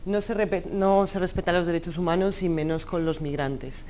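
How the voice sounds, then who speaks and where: A woman's voice: on the low side, steady tone, normal speed, warm tone, a little hiss or hum. A woman lawyer speaking firmly and insistently as a guest in a studio debate. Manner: firm, insistent.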